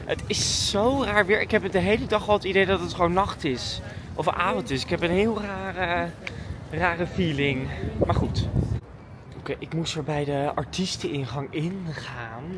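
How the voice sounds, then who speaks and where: A woman's voice: on the low side, noisy background. A woman chatting casually and informally to her vlog audience, thinking aloud as she goes. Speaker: daily vlogger and dancer.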